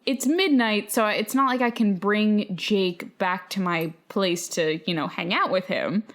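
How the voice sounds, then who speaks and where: A woman's voice: mid-range voice, measured pace, a bit bright. Female podcast co-host, mid-range voice, informal anecdote teller, droll delivery. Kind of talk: casual chat and personal storytelling.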